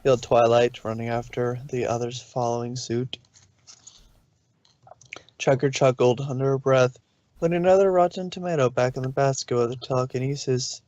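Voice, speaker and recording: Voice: mid-range voice, steady tone, moderate pace, a little mellow, lo-fi sound. A narrator reading a story aloud in a storybook style, sometimes stumbling over a word and correcting it. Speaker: narrator, fan fiction reader.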